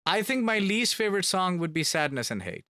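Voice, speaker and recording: A man's voice: high-pitched, very animated, fairly quick, sharp tone, dry recording, clean studio sound. A man chatting casually on a live stream, reading fan questions aloud and answering them, repeating words for emphasis. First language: Finnish. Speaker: band member streaming to fans.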